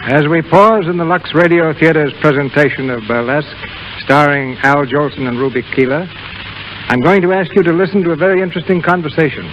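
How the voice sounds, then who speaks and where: A man's voice: on the high side, fairly quick, muffled recording, noisy background. A man presenting as a radio host in formal 1930s broadcast style.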